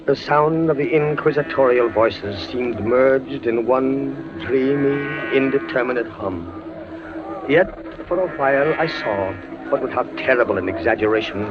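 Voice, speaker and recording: Music plays behind a muffled 1940s radio recording of a tormented French army captain played by a male actor. His mid-pitched voice is theatrical, halting and anguished, that of a weakened prisoner of the Inquisition. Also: lightly acted. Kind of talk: 1940s radio drama acting.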